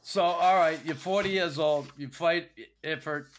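A man hosting a podcast interview, jokey and quick to laugh, asking blunt questions. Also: a little high, steady tone, slight background noise. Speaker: podcast host.

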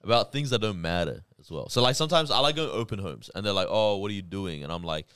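A man's voice: fairly low pitch, quick pace, clear, resonant tone, no room sound, studio quality. A man from Iraq chatting casually as a podcast co-host, telling anecdotes in slangy language and acting out the dialogue. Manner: animated, slangy storytelling with acted-out dialogue. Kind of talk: casual banter and anecdotes with friends.

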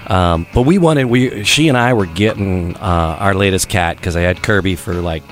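Hoarse, froggy-voiced male radio host. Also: low-pitched, moderate pace, soft background music. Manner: rambling, many restarts and fillers. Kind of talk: casual radio chat.

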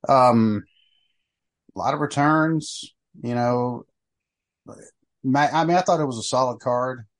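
A man's voice: mid-range voice, takes it slowly. Rambling middle-aged man hosting a podcast. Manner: rambling, many fillers and restarts. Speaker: podcast host. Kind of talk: casual chat.

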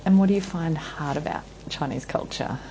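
A woman's voice: deep voice, normal speed, mellow, a little hiss or hum, rough recording. A woman hosting an interview, asking questions in a friendly, encouraging way. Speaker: podcast host. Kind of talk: interview chat.

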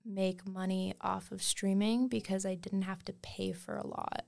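A woman's voice: mid-range voice, flat delivery, unhurried, vocal fry, darkish tone. A young woman from Wales raised in Canada, a musician guest chatting casually on a podcast, full of fillers and trailing phrases.

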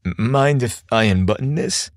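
A man's voice: mid-range voice, animated, moderate pace, no room sound, studio quality, natural voice. Man as a sweet, hesitant young guy. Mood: nervous.

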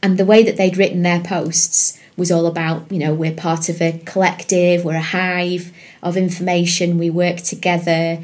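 A woman's voice: lowish voice, little pitch movement, normal speed, darkish tone. A woman, chatting as a podcast guest, rambling with many fillers and restarts. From England. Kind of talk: casual interview chat.

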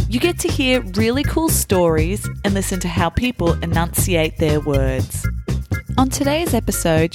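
A woman hosting a podcast, slowly and clearly explaining English phrases to learners. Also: on the low side. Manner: slow, clear, teacherly explanations. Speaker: podcast host.

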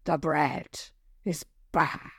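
A woman voicing a huge, mean stone troll in a children's story, with a loud rasp and blunt, broken speech. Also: low voice, steady tone, extremely slow, dry recording, clean studio sound, pitched below the performer's own voice, heavily acted. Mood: gruff, menacing. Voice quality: loud rasp, breathy and rough. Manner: blunt broken sentences, drawn out.

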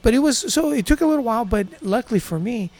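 A man's voice: extremely high pitch, lively intonation, quick pace, darkish tone. A man in his fifties from Brazil, native speaker of Portuguese, chatting enthusiastically as an interview guest with surfer slang. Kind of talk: casual interview chat.

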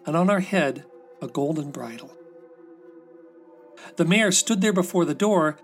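A man narrating a fairy tale as a scripted storybook reading, with music behind him. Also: high-pitched. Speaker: storybook reader.

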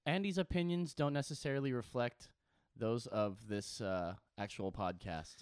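A man's voice: medium pitch, normal speed, a little mellow, clear, resonant tone, no room sound. Wry male podcast host, dry sound. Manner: teasing, wry. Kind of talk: casual podcast banter.

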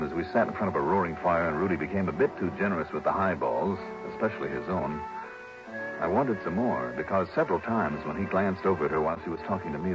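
A man acting a cool, level-headed private investigator in a mid-century radio drama, with clipped, probing delivery. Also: low-pitched. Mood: brooding. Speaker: lead actor and narrator. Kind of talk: 1950s-60s radio detective drama acting, first-person narration. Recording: dull old radio recording, music behind.

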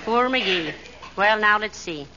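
An actress playing a shrewd, patient, teasing middle-aged wife in 1940s radio comedy, folksy and sweetly knowing. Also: female voice, mid-range voice, expressive, slow, over a noisy crowd. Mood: amused, knowing. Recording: dull, noisy 1940s radio recording.

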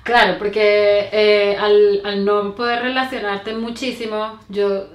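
A Venezuelan woman in her thirties, a guest in a casual interview, talking with many fillers, pauses and restarts. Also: medium pitch, level tone, reverberant.

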